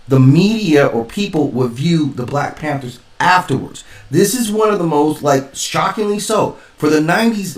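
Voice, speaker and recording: A man giving casual spoken commentary as a stream host, rambling and emphatic as he thinks aloud. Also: fairly high pitch. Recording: roomy echo, distant from mic.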